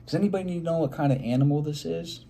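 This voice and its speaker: A man reading a picture book aloud to children in an expressive way, pausing to prompt them with simple questions. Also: on the high side, vocal fry, slight background noise.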